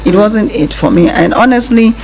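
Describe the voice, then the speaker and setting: A woman's voice: medium pitch, animated, moderate pace, darkish tone. A woman with a Nigerian accent hosting radio, talking conversationally and telling personal stories. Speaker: radio host.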